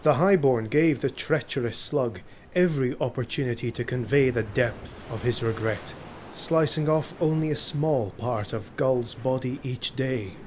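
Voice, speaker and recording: A man's voice: mid-range voice, measured pace, a little mellow, a little hiss or hum. A man reading scripted fantasy narration dramatically, as an audiobook narrator. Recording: faint ambient sound effects behind voice. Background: ambience and sound effects.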